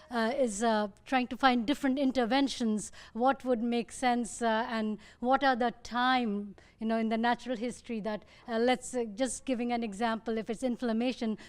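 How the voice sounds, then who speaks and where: A woman with an Indian accent, a researcher speaking thoughtfully on a panel and often pausing to find her words. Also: on the high side, smooth. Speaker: muscle disease researcher.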